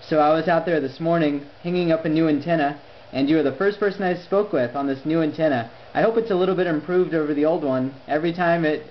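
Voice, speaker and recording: A man making conversation as an amateur radio operator, phrasing things deliberately and articulately. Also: fairly high pitch. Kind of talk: conversational ham radio exchange. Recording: dull lo-fi sound, faint noise.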